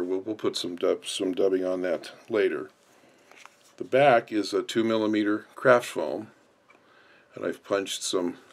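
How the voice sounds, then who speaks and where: A man instructing in an unscripted, step-by-step way as he explains hands-on work. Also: low-pitched. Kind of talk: hands-on tutorial narration.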